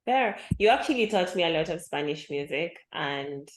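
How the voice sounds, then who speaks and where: A young woman hosting a podcast, chatting casually with a friend, reflective and quick to laugh. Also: deep voice, animated. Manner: affirming, laughs easily, reflective. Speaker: podcast host. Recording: roomy echo.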